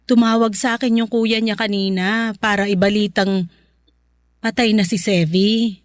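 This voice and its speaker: An actress playing a middle-aged mother in a radio drama, gentle, careful and worried for her child. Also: medium pitch, fairly even intonation, unhurried, no room sound, lightly acted. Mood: grave. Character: gentle, worried mother breaking bad news to her daughter.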